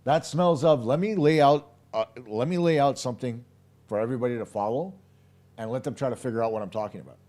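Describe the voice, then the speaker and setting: A man with a hoarse, slightly worn voice breaks down a hockey game for his live audience. He is blunt and opinionated, pressing his points hard and speaking right to his viewers. His voice sits in the middle range for a man. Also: mid-range voice, fast talker, mellow. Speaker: hockey podcast host.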